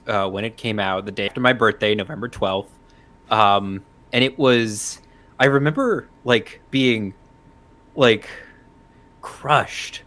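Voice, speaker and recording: A man giving his opinion as a panelist in a casual discussion, pausing often mid-thought. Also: medium pitch, a bit bright.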